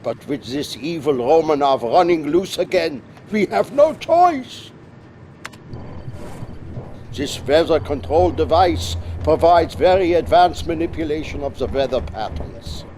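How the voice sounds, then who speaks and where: A grave scientist in a game cutscene, slightly high for a man and unhurried. He warns with earnest, ominous care over faint background noise. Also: lively intonation, a little mellow. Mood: grave warning. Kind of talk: dramatic video game cutscene acting.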